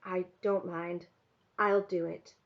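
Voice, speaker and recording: A woman's voice: a little high, animated, moderate pace, muffled recording, natural voice. A woman voicing a caring, practical young girl in a storybook, explaining patiently and earnestly. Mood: hesitant, resolved.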